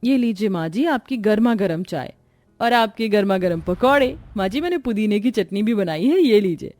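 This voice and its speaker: A woman's voice: medium pitch, lively intonation, normal speed, darkish tone, lightly acted. A woman voicing a timid young veiled daughter-in-law in a moral-story cartoon, deferential and beseeching. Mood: dutiful.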